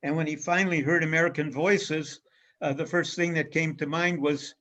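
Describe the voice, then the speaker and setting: A man giving an illustrated history lecture as a guest speaker, speaking in a measured way with frequent pauses and fillers. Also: fairly high pitch, steady tone, a bit bright. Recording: dull lo-fi recording.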